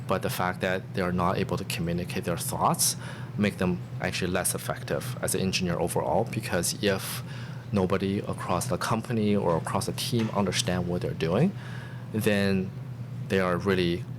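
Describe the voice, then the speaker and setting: A man's voice: low voice, fairly quick. A man in his thirties whose first language is not English, answering interview questions earnestly with some restarts. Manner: earnest, reflective, with frequent restarts. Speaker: podcast guest, staff software engineer. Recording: steady background noise.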